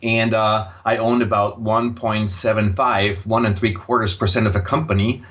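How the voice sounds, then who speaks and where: A man's voice: fairly low pitch, fairly even intonation, normal speed, a bit bright, dull audio. A man in his sixties from Austria, a native German speaker with an Austrian accent, talking conversationally as an interview guest. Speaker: podcast guest, impact investor and former tech executive. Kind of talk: conversational personal account in an interview.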